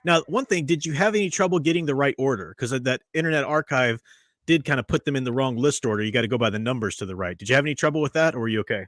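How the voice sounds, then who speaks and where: A man's voice: medium pitch, expressive, very fast, fairly bright tone, no echo, studio quality. A man hosting a casual review chat, greeting live chat viewers as he talks. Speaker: podcast host.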